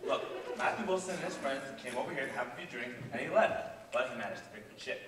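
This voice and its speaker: A teenage boy playing a sassy, admiring male bartender in a high school stage play. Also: expressive, fast talker, a bit bright, close to an everyday voice. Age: teens. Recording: echoey auditorium stage from far off, noisy.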